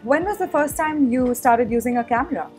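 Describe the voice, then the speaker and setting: A woman interviewer asking quick upbeat questions and giving encouraging replies. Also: sits high, a little mellow, soft background music.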